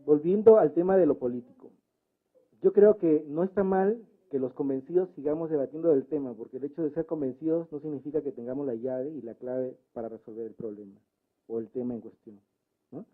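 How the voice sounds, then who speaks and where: A man speaking in a debate, reflecting aloud in a halting way with frequent tag questions. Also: fairly high pitch. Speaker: debate participant working with community radios. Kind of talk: spontaneous reflective discussion. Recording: narrow, phone-like debate recording.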